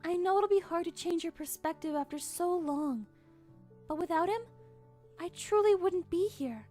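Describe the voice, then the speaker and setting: Woman as a very high-voiced gentle pony queen. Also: moderate pace, soft background music. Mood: solemn gratitude. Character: gentle, kind, humble young pony queen. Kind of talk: animated fan drama dialogue.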